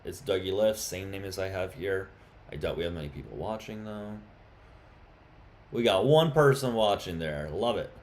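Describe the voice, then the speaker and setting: Male stream host, lower register, chatty, pushy in debate. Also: lively intonation, moderate pace, darkish tone, slight background noise. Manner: chatty, turns heated and insistent in arguments. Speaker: livestream host. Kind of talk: casual live-stream chat and debate.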